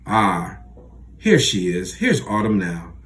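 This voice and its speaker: A man's voice: low voice, sing-song, slow pace, fairly bright tone, heavily acted. A gracious, polite church wife, put on by a male narrator who raises his voice for her. A faint hiss sits behind the reading. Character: gracious middle-aged woman, a pastor's wife.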